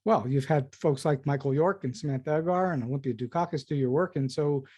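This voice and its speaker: Male podcast host, fairly high but very relaxed, warm timbre, casually feeling his way through questions. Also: brisk. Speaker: podcast host interviewing a guest. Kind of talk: conversational interview questions.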